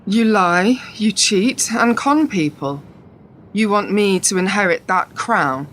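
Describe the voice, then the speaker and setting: Heard with long, echoing reverb, this is a principled and challenging woman in a radio play. Her voice sits at a medium pitch and she speaks as someone ready to question the family trade. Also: takes it slowly, a bit bright, a little hiss or hum. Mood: accusing. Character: principled, challenging adult heir to a political consultancy. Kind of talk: radio drama acting. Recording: echoey hall with long reverb.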